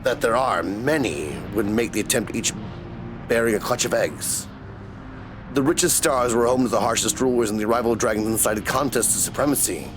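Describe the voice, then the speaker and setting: A man's voice: mid-range voice, lightly acted, poor audio. A man voicing an ancient, bereaved dragon from a fantasy game, speaking solemnly in archaic phrases over music. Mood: weary, sorrowful. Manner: slow, solemn, archaic storyteller delivery. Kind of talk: dramatic reading of video game dialogue.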